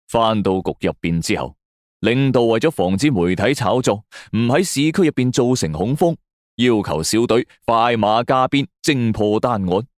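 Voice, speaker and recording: A man's voice: fairly low pitch, dry recording, clean studio sound. A man narrating a scripted suspense story in a dramatic storytelling manner.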